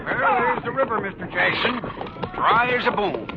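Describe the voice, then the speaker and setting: High, creaky-voiced worried cowhand. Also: male voice, sing-song, normal speed, heavy background noise. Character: worried cowhand on a parched cattle drive. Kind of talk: 1950s radio western acting. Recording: muffled old radio broadcast, loud background sound.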